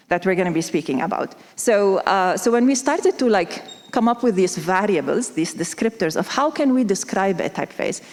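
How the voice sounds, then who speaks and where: A woman from Lebanon giving a conference talk in a conversational way, talking straight to the audience. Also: lowish voice. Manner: conversational, uses analogies, addresses audience. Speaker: conference speaker. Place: conference hall. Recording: reverberant hall.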